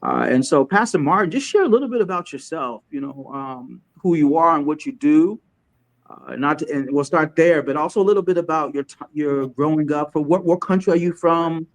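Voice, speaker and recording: Chatty man from Chad hosting a livestream. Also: fairly high pitch. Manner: halting, many restarts while setting up. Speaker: host. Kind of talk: casual livestream interview hosting.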